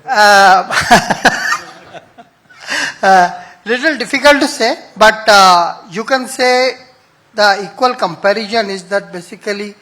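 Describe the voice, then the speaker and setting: A man with a high voice explains a topic on a panel. His tone is bright, and he keeps checking in with the listener as he goes. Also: slow pace. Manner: explanatory, frequent "right?" tags. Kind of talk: panel discussion.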